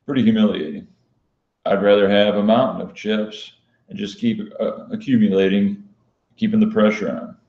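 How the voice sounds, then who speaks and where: Casting: male poker vlogger, mellow monotone, unhyped casual Q&A delivery, dull lo-fi roomy recording. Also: lowish voice, moderate pace. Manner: flat, unhyped, matter-of-fact delivery. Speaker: professional poker player and vlogger hosting a live stream. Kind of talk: casual live-stream Q&A chat. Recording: dull, lo-fi sound in echoey room.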